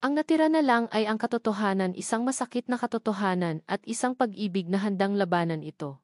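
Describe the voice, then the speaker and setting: A woman narrates a scripted love story in the first person. Her voice sits at a middle pitch. The sound is dry and free of room echo, in the manner of a dramatic audio story. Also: quick pace, a little mellow.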